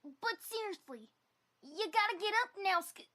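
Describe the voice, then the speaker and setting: An excitable little farm filly, voiced by a woman, extremely high and bright. She talks in an informal, childlike way, like a cartoon pony in a fan audio drama. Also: moderate pace, full character voice. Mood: coaxing. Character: young yellow farm filly, cheeky, earnest, excitable.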